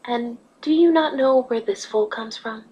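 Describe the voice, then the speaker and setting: A woman voicing a wise, motherly pony ruler in a fan fiction reading, speaking patiently and earnestly with a slightly husky voice. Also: on the high side, unhurried, warm tone, low-quality recording. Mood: gently probing.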